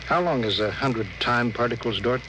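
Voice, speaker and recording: A man's voice: medium pitch, natural voice. Commanding space captain, acted by a man, noisy old radio. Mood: grave, thoughtful. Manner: authoritative, clipped, deliberate. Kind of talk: 1950s radio drama acting. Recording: noisy vintage 1950s radio broadcast.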